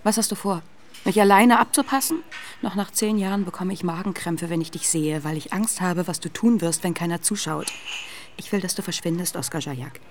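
Radio play role: hurt, intense young activist woman played by an actress, slightly low, faint noise behind. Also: fairly quick, lightly acted. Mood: furious, hurt. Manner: accusing, intense. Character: wounded, furious young feminist activist woman. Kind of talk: radio drama dialogue.

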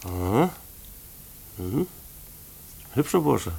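A folksy country postman in an old radio play, with a husky, rough-edged voice. He is kindly but evasive, stumbling over words and repeating himself as he reassures. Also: mid-range voice, sing-song, extremely slow, warm tone, heavily acted. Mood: kindly, awkward. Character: kindly, awkward rural mailman, evasive but caring. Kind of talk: post-war German radio drama acting.

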